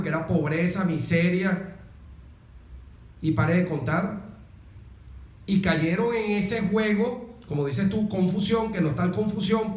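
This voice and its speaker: Slow, deliberate Venezuelan man, higher-pitched voice. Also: darkish tone. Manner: emphatic, deliberate, repeats phrases in rhetorical series. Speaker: interview guest, political commentator. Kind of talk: earnest political discussion, speech-like. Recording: roomy, echoing sound.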